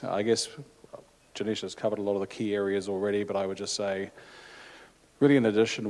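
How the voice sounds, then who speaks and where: A man with a New Zealand accent, introducing himself as a panelist at a conference, measured and unhurried with fillers. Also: fairly low pitch, a little mellow. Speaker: venture capital panelist. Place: conference hall stage.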